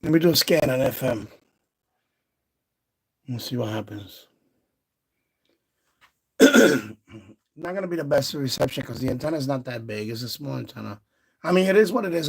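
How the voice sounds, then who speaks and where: A man's voice: medium pitch, very animated, moderate pace, gravelly, pauses often, breathy edge. A man chatting casually and repetitively as he hosts a livestream demonstrating radios from indoors. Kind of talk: casual chat. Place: indoors in a basement.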